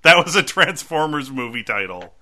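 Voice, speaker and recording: A man's voice: medium pitch, sing-song, moderate pace, sharp tone. A man hosting a casual chat about movies, laughing and restarting his sentences.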